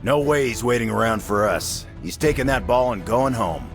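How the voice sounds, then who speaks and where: An actor playing a cocky, gruff action-game soldier with a gravelly voice and curt, deadpan quips. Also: male voice, medium pitch, moderate pace, music in the background, close to an everyday voice. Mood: wry, cocky. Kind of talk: video game cutscene acting.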